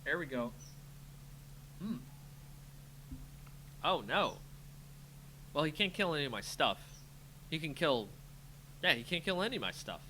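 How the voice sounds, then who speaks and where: A man's voice: on the high side, sing-song, measured pace, pauses often, pushed and bright, a little hiss or hum. A man giving casual live commentary on a game he is playing, talking to himself playfully as he thinks. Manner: muttering to himself, self-talking, playful sing-song filler. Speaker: host of a card game channel, playing.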